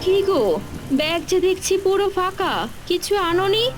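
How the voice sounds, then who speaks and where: A woman's voice: very high voice, steady tone, normal speed. A woman playing a stern, worried village mother in a cartoon, scolding and nagging. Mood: worried, cross. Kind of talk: Bengali cartoon voice acting.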